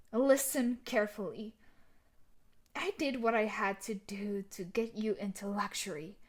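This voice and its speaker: A woman's voice: medium pitch, unhurried, close to an everyday voice. A woman playing a tough, sadistic, possessive bully fiancée in a dramatic audio roleplay, scolding and emotionally intense. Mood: angry, possessive.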